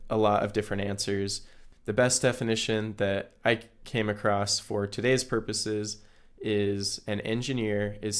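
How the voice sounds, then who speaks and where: Hoarse man hosting a talk podcast. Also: lowish voice. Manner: earnest, explanatory, with small restarts. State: hoarse, recovering from illness. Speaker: podcast host. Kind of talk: solo educational podcast talk.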